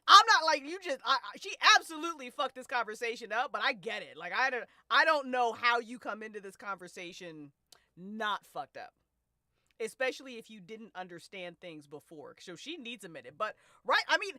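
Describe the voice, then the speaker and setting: A woman hosts a relaxed chat about a story she wrote. Her voice is bright and very animated in pitch, recorded dry and close. She talks in expressive slang and swears freely. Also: brisk, no room sound. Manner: prone to laughing as they talk. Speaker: host and writer of a fiction podcast. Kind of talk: casual discussion of an audio drama.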